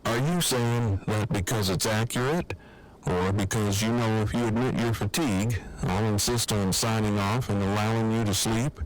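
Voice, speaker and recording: Man acting a low-voiced, formal, deadpan radio companion. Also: normal speed, slight background noise, close to an everyday voice, poor audio. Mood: dry, fond. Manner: wordy, formal, deadpan phrasing. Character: formal, precise, dryly affectionate man on a walkie-talkie. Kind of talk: fan audio drama acting.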